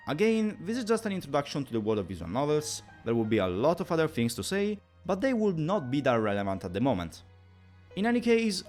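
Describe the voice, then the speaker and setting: A man hosting a tutorial, narrating with playful, mock-formal phrasing. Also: mid-range voice. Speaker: video host.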